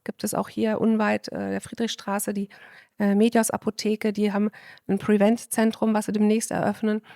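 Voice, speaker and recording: A woman's voice: mid-range voice, monotone, moderate pace, gravelly, mellow, no room sound, clean studio sound. Emphatic woman doctor explaining at length. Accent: German. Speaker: physician guest. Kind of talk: casual podcast conversation.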